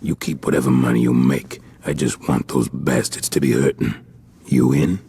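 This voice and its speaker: An actor playing a blunt, bitter, shady company boss in a video game, with a gravelly, husky voice. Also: male voice, extremely low pitch, fairly even intonation, normal speed, warm tone, slight background noise. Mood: blunt, vindictive. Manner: blunt, profane. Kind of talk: video game cutscene acting.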